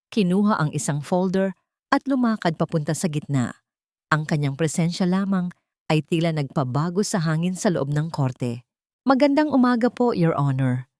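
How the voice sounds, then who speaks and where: A Filipino woman narrates a dramatic story from a script. Her voice sits on the low side and moves in lively, animated swings of pitch. The sound is dry and close, with little room. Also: dry recording.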